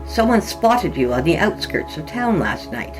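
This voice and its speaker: A woman's voice: on the low side, sing-song, moderate pace, faint music behind, low-quality recording. Woman reading as a sheepish small-town policeman. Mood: official, questioning. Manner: hesitant, polite, official. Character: sheepish but firm small-town male police constable. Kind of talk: storybook dialogue reading.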